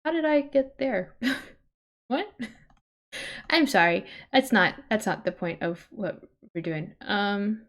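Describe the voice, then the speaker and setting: A woman hosting a livestream, chatting casually in an expressive, exclamatory way. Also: mid-range voice, slow, pauses often. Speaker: livestream host.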